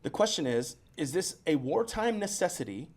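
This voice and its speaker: A man's voice: a little high, big pitch swings, moderate pace. A man co-hosting a podcast, casually reading news notes aloud and stumbling over unfamiliar place names. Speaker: podcast co-host.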